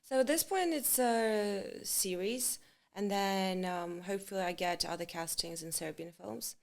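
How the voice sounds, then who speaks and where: A woman actress being interviewed, speaking English in a relaxed, halting way and slipping in Serbian words. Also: medium pitch, creaky. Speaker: actress, guest. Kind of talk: casual interview chat.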